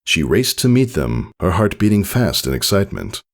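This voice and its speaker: Low-pitched, animated male story narrator. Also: normal speed. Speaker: narrator reading fan fiction. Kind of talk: scripted audiobook-style narration.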